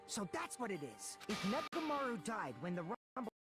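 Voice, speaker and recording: An actor voicing a sharp, reasoning character in a murder-mystery video game. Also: mid-range voice, big pitch swings, moderate pace, close to an everyday voice. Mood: deducing, serious. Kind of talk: dramatic video game voice acting. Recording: loud game music behind.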